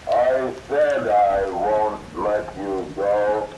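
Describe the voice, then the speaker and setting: An actor playing a huge, menacing wooden funhouse giant come alive in a radio play, with a slow, drawn-out delivery. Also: male voice, on the low side, highs cut off. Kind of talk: 1940s-50s radio suspense acting. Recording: echoing, distant, noisy old radio recording.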